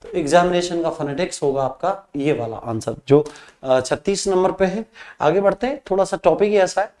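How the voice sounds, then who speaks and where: A man with a Rajasthani Hindi accent teaches an English exam class in Hindi. His voice sits fairly high. He quizzes the students and then gives the answers himself, drawing English words out slowly as he writes on the board. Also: a little mellow. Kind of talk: exam practice lesson. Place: classroom studio with a whiteboard screen.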